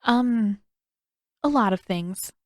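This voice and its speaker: A woman's voice: on the high side, lively intonation, takes it slowly, dry recording, studio quality. A woman voicing a friendly, guileless young boy in an audiobook story, earnest and artless. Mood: sheepish, blurting.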